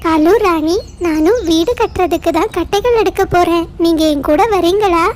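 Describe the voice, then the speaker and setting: A woman's voice: very high-pitched, normal speed, darkish tone, slight background noise. A woman voicing a friendly, eager small forest bird in a children's cartoon story.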